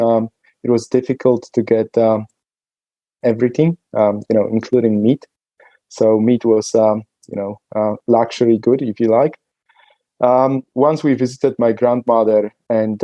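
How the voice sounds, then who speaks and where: A man from Poland, speaking as a podcast guest in a relaxed, hesitant way with many fillers. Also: mid-range voice, no room sound, highs cut off. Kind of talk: conversational podcast interview.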